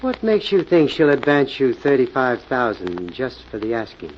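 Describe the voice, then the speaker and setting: An actor playing a hard-nosed, coolly menacing American gambling club boss in a 1940s radio drama, firm and skeptical, on an old recording. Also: male voice, mid-range voice, big pitch swings, moderate pace, highs cut off, a little hiss or hum, close to an everyday voice. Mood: skeptical, probing. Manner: firm, skeptical, tough-talking.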